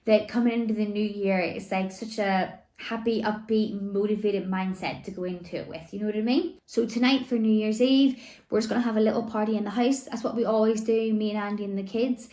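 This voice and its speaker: A woman in her thirties talks to camera in a loose, rambling way, full of "like" and "you know". Her voice is still slightly worn after laryngitis, and it sits at a middle pitch. The room around her is echoey. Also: steady tone, a little fast, warm tone. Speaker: vlogger. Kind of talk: casual chat to camera. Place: home room.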